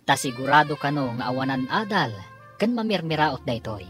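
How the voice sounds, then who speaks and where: A woman's voice: sits low, expressive, moderate pace, faint music behind. A woman with an Ilocano accent narrating and announcing a scripted radio drama serial in a dramatic style.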